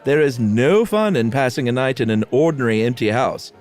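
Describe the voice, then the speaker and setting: A man voicing a stuffy, sceptical English gentleman, slow and emphatic, with a put-on British accent. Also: mid-range voice, soft background music, lightly acted. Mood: stubborn, haughty. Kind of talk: amateur dramatic reading.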